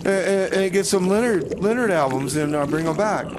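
Man doing a high-voiced bumbling mechanic. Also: moderate pace, noisy background, lightly acted. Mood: nonchalant, defensive. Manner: offhand, hesitant, excuse-making. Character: nonchalant, bumbling male time-machine mechanic. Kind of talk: comedy radio theater.

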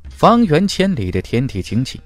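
A man narrating a fantasy audiobook in a dramatic scripted style, with emphatic, deliberate delivery. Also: on the high side, huge pitch swings, no room sound.

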